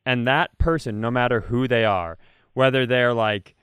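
A man with a smooth, clear voice at a middling pitch, recorded dry and close. He talks as a comedy podcast co-host, casual and rambling, pitching ideas with enthusiasm. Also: moderate pace, a bit bright, dry recording.

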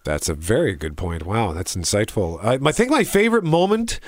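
A man's voice: on the low side, sing-song, fairly quick, no echo. A middle-aged man hosting a show, chatting casually about entertainment. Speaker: radio show host. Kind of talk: casual movie and TV review chat.

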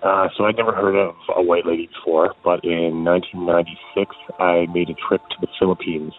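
A low-pitched man from Canada tells his story in a casual, rambling voicemail. The call sounds thin and phone-like, with faint music underneath. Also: little pitch movement, fairly quick. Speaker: caller. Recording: tinny phone line.

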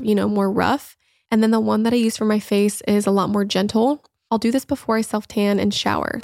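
A woman's voice: medium pitch, creaky, a little mellow, no room sound, clean studio sound. A young woman hosting a solo wellness podcast, chatty and upbeat as she walks through recipes and habits, a little congested. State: slightly congested. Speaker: podcast host.

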